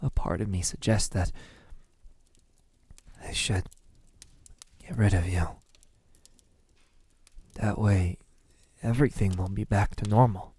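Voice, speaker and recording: A man playing a brooding vampire in an ASMR roleplay, speaking softly and intimately with a breathy, halting delivery. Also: lowish voice. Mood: lonely, vulnerable. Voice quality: soft, breathy, husky. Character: lonely, lovesick male vampire, menacing toward humans.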